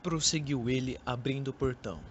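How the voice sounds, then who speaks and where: A man's voice: medium pitch, brisk, highs cut off. A young man reading scripted literary narration for an amateur radio drama. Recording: noisy amateur recording.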